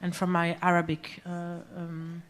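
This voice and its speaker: A woman, a musician guest talking about her music in a live on-stage interview, pausing often to search for words. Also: lowish voice, monotone. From Algeria, raised in France. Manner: hesitant, searching for words, many fillers. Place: small music venue stage.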